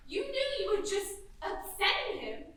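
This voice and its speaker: Young woman as a high, flighty stepmother goddess. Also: sing-song, normal speed, fairly bright tone, heavily acted. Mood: uneasy. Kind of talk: school stage play acting. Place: theater stage. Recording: echoey theater, distant mic, faint noise.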